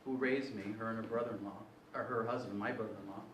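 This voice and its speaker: A man's voice: on the low side, steady tone, fast talker. A man in his sixties shares his life story at a panel, rambling and often correcting himself. He sounds distant from the mic in a roomy, echoing hall. A faint noise sits underneath. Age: 60s. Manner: rambling, self-correcting, hesitant. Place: event hall. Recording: echoey room, far from mic.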